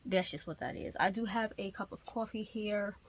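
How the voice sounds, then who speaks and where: A young woman hosting her faith vlog in a relaxed, rambling way, sounding nasal from a cold coming on. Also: medium pitch, normal speed, husky. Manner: relaxed, rambling, many ums. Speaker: YouTube ministry host. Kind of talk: casual chatty vlog.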